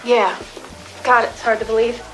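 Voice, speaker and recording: Casting: woman as breezy adult-film actress and working mom, lightly high voice, matter-of-fact, music underneath. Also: little pitch movement, moderate pace, natural voice, rough recording. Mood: wistful, candid. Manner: casual, matter-of-fact, chatty. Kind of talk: naturalistic audio drama dialogue.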